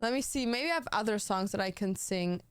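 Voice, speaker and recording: A woman's voice: mid-range voice, animated, fairly quick, a little hiss or hum. A young woman streaming, chatting casually, swearing a lot and saying "like" and "bro" constantly. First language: German. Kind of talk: casual chat on a gaming stream.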